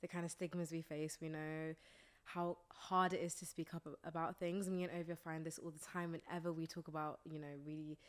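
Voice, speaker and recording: A woman's voice: deep voice, little pitch movement, brisk. A woman hosting a casual interview, informal and affirming as she frames her questions. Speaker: podcast host.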